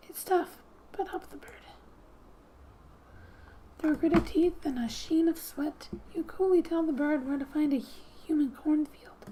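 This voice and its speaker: Soft, high-voiced woman doing ASMR gaming. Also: a little slow, a little hiss or hum. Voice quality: soft, often dropping to a whisper. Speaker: video game streamer. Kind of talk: soft-spoken ASMR gaming commentary and read-aloud. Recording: quiet background music. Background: soft music.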